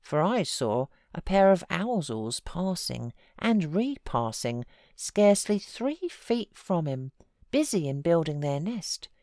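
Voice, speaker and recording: Female British audiobook narrator, low register, measured literary storytelling at a slow pace. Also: very animated, dark tone, no room sound, clean studio sound. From United Kingdom. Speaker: audiobook narrator and voiceover artist.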